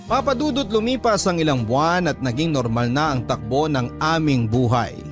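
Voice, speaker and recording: A man's voice: on the high side, expressive, normal speed. A man narrating a dramatic first-person story as a radio host. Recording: music under the voice.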